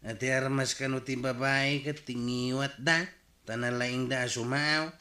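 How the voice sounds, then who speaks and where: A man's voice: mid-range voice, little pitch movement, unhurried, bright, forceful tone. A man playing a teasing tricycle driver and drinking buddy in an Ilocano radio comedy, with an Ilocano Filipino accent.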